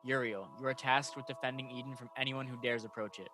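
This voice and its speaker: A man's voice: mid-range voice, steady tone, quick pace, music in the background, lightly acted. A man playing a stern, dutiful archangel in a radio play, speaking earnestly and solemnly as he instructs. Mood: authoritative.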